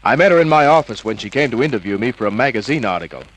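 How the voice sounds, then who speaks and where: An actor playing a wry, flirtatious American private detective with a world-weary manner, in the style of 1950s radio noir. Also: male voice, medium pitch, expressive, racing, fairly bright tone, rough recording. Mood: wry narration. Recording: old radio drama recording with music.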